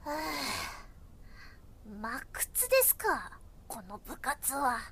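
A woman playing a timid but easily excited junior schoolgirl in an anime-like audio drama, meek and hesitant. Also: high-pitched, drawn-out words, full character voice. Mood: flustered. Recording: very noisy background with clicks.

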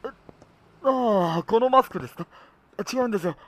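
An actor playing a polite, soft-mannered middle-aged uncle in an audio drama, speaking formally and wistfully. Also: male voice, sits high, animated, fairly quick, a little hiss or hum. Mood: courteous.

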